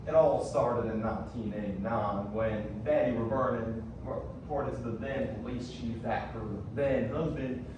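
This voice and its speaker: A man acting a grave, serious witness who recounts a case in measured explanatory stage dialogue. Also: medium pitch, muffled recording, close to an everyday voice. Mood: grave explaining. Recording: echoey hall from far off, noisy.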